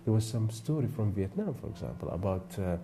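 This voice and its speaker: A man from Iraq, a photographer serving on a jury, giving thoughtful, halting interview answers with many fillers and restarts. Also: fairly low pitch, big pitch swings, creaky, noisy background.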